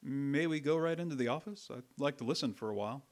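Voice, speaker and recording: A man's voice: mid-range voice, fast talker, lightly acted. A man playing a polite, curious magazine reporter in a radio drama, affably asking questions.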